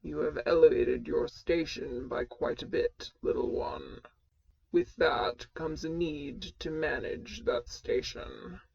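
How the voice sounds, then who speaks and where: A woman voicing a stern, commanding male mentor in armor, with deep gravelly tones and formal, measured speech. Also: a little mellow, dull audio, exaggerated voice. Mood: calm advising.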